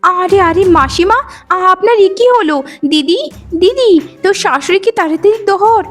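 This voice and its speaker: Woman acting high-voiced meddling young sister. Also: moderate pace, soft background music. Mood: alarmed. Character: meddling, conniving young sister of the daughter-in-law. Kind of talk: melodramatic cartoon moral-story voice acting.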